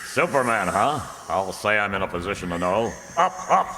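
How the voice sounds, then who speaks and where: A man playing a confident, heroic superhero in a radio drama, calm, commanding and thinking aloud. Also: fairly low pitch, normal speed. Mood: determined. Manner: self-assured, narrating own actions aloud. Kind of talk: old-time radio drama acting. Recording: reverberant recording with clear background noise.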